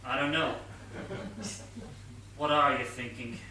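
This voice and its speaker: A man playing an earnest, know-it-all junior detective sidekick in a comic stage play, explaining things deliberately. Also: medium pitch, fairly even intonation, pushed and bright. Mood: conspiratorial. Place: theatre stage, picked up from a distance. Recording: distant echoey theatre stage recording, faint noise.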